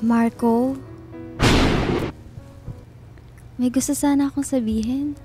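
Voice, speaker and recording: A woman's voice: high voice, fairly even intonation, close to an everyday voice. A woman acting in a radio drama as an earnest friend confessing love for a married man. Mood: hesitant, tender. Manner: earnest, deliberate. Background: background music.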